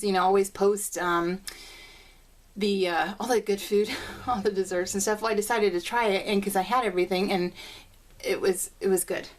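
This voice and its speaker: Bubbly, chatty woman vlogger. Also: mid-range voice. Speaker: crafting vlogger. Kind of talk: casual rambling chat to viewers.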